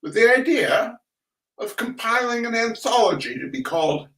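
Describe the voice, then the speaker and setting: A man reading humorous essays aloud in a deliberate, theatrical way. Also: extremely high pitch, big pitch swings, fairly bright tone. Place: echoey room, recorded at a distance from the mic. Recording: echoey room, distant microphone.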